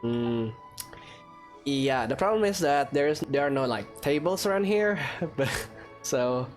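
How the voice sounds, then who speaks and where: A woman's voice: very deep voice, lively intonation, measured pace, darkish tone, soft background music. A woman giving casual, chatty and slightly hesitant commentary while playing a video game. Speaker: gamer narrating a playthrough.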